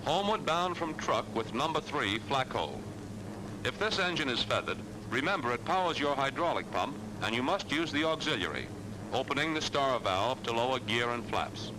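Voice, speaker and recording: Male American narrator, 1940s military training film. Medium pitch, assured, clipped, instructional read. Noisy vintage soundtrack.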